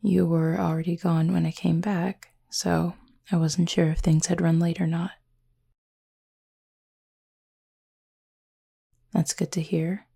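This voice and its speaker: A woman playing a gentle, formal cupid roommate in an intimate roleplay audio, speaking softly and breathily with measured, teasing politeness. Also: lowish voice, little pitch movement. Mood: composed, fond. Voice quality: soft, breathy, slightly husky. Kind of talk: intimate roleplay audio acting, addressed to listener.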